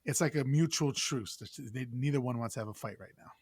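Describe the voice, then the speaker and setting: A man's voice: medium pitch, fast, darkish tone. A man with a mid-range voice hosts a casual film discussion. He talks informally, with salty asides, in a dry, echo-free recording. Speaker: podcast host.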